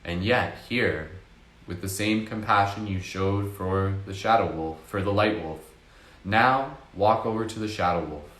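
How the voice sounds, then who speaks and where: A man leading a slow, soft-spoken guided meditation with casual fillers. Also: low voice, reverberant, low-quality recording.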